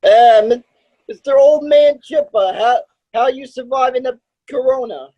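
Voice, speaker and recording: A man speaking haltingly as a guest in casual comedy podcast banter. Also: very high voice, dark tone, dull audio.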